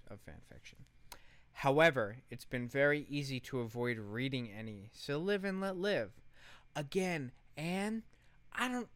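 A man's voice: mid-range voice, a bit bright, no room sound. A man co-hosting a podcast, telling a story in casual commentary with an emphatic, sarcastic manner and casual swearing. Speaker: podcast co-host.

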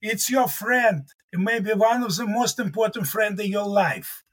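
A husky, rather high-pitched man whose first language is Russian talks as a guest in an interview. He is middle-aged and speaks earnestly and emphatically, returning to his key words to stress them. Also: normal speed, fairly bright tone. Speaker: mathematics professor.

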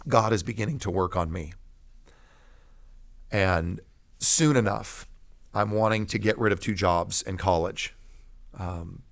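A man with a fairly low voice shares his personal story as a pastor would in conversation. He tells it emphatically, pausing deliberately and repeating key phrases. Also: fairly bright tone. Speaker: pastor and podcast guest telling his testimony.

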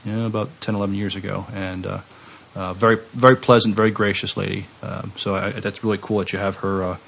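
A man co-hosting a podcast in casual chat, speaking haltingly with phrases that trail off. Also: on the low side, little pitch movement, vocal fry, fairly bright tone, husky. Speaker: podcast co-host.

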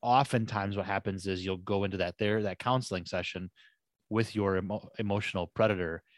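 A man's voice: deep voice, fairly even intonation, a little fast, no room sound. A man co-hosting a podcast who rambles and thinks aloud with many restarts. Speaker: podcast co-host. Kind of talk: casual conversational chat.

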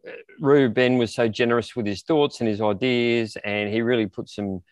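A man's voice: medium pitch, steady tone, moderate pace, smooth, no echo, studio quality. A man co-hosting a podcast, chatting casually and searching for his words with hesitant repetition. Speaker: podcast co-host. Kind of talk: casual interview chat.

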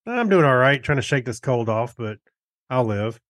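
A man's voice: mid-range voice, sing-song, normal speed, fairly bright tone, no room sound. Easygoing American man, dry close sound. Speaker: podcast co-host. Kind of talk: casual chat.

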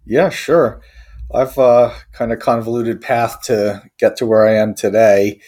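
A man from Croatia, a tech company founder, answering interview questions thoughtfully with many pauses and restarts. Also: mid-range voice, steady tone, a little mellow. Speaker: company co-founder and technology chief.

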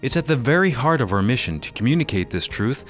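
A man's voice: medium pitch, big pitch swings, fast, soft background music. A man reading a scripted radio program introduction as the announcer.

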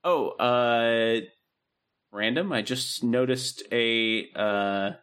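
A man's voice: mid-range voice, extremely slow, a bit bright, smooth. A young man co-hosting a podcast, chatting in a laid-back, rambling way with plenty of ums. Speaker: podcast co-host. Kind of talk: casual chat.

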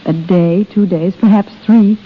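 A woman's voice: mid-range voice, fairly even intonation, a little slow, breathy edge. An actress playing a lonely, tender, fragile young expectant mother in earnest, theatrical 1930s radio drama style. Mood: wistful, brave. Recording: thin, phone-like 1930s radio recording.

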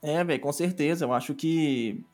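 Um homem com sotaque brasileiro conversando informalmente num podcast, como convidado e criador de audiodrama. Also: male voice, fairly high pitch, moderate pace, darkish tone. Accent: Brazilian. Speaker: podcast guest, audio drama creator. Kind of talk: casual podcast chat. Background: music.

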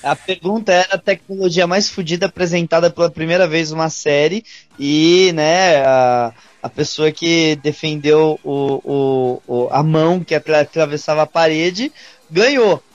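Podcast guy, higher voice, dull dead-dry sound. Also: moderate pace, dry recording. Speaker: podcast co-host. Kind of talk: casual chat.

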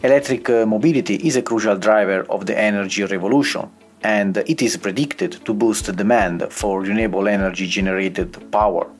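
A man hosting a podcast interview in a halting way, often repeating and rephrasing his phrases. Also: on the low side, faint music behind. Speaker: podcast host.

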